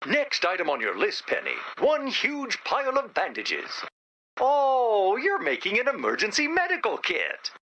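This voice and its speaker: An actor voicing an anxious, childlike, earnest robot in an animated video game, with a timid, hesitant manner and a robotic filter. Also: mid-range voice, a little slow, muffled recording, a little hiss or hum, exaggerated voice. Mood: curious, upbeat. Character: anxious, childlike, well-meaning robot, literal-minded.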